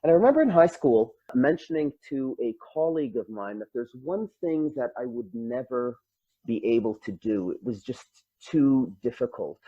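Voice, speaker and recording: A man's voice: mid-range voice, animated, moderate pace, husky, no room sound. A man, patiently explaining grammar with examples as an Arabic instructor. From Canada. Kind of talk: lecture. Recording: dull, dry lo-fi.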